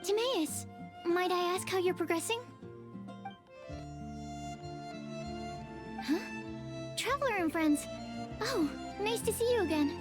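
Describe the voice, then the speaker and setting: A woman's voice: very high-pitched, lively intonation, normal speed, music in the background. A woman voicing a polite, earnest young alchemist researcher in a video game, with a hesitant, bookish manner.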